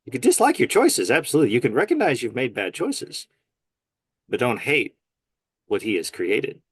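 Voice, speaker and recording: A man's voice: mid-range voice, very animated, normal speed, husky, dry recording, studio quality. A man speaking as a guest in a casual faith conversation, earnest and emphatic, often agreeing firmly. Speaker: guest, nurse.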